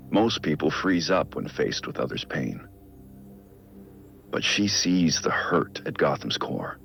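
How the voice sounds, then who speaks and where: An actor playing a brooding middle-aged American vigilante in a video game, dictating case logs in a gravelly, measured, introspective voice. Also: male voice, a bit bright, highs cut off, heavily acted. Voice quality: gravelly, low. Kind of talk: scripted audio-log monologue.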